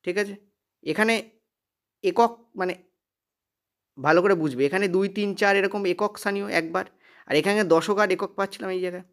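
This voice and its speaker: This is a man with a notably high voice. He talks quickly as he teaches, working through material step by step. He drives points home by repeating them and keeps checking that learners follow. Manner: emphatic repetition with frequent check questions. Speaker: maths teacher. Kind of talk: exam-prep lesson, explaining step by step.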